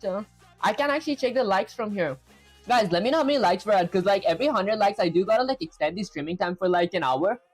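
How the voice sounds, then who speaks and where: A woman streaming herself programming, chatting casually and thinking out loud with lots of "like" fillers. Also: medium pitch, expressive, fast, slightly rough, soft background music. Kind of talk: casual live coding stream.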